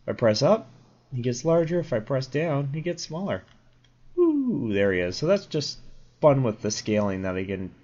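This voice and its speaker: A young man with a sore, slightly crackly throat talks through code in a low voice. He rambles casually, stops to correct himself and drops in plenty of fillers. The dull, lo-fi mic takes the edge off every word. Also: a little mellow. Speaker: programming tutorial host. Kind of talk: casual screen-recorded coding tutorial.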